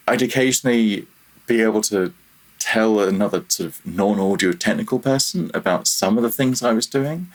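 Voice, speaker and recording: A man with a very deep, husky voice talks as a podcast guest. He is reflective and casual, feeling his way through halting phrases with a modest, self-deprecating touch. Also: rough recording. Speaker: podcast guest, freelance audio programmer. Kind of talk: reflective casual interview talk.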